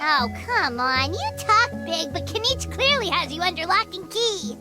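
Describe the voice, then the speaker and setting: A tiny fairy sidekick girl from an anime game. Her voice is extremely high and squeaky, swinging all over with feisty, chatty expression. She sounds childlike and blunt. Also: sing-song, moderate pace, over music. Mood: exasperated.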